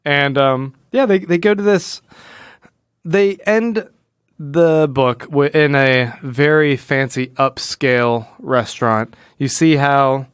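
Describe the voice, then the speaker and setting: A man co-hosting a podcast, casually discussing comics in a halting way, repeating words as he goes. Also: medium pitch, smooth, dry recording, studio quality. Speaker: podcast co-host.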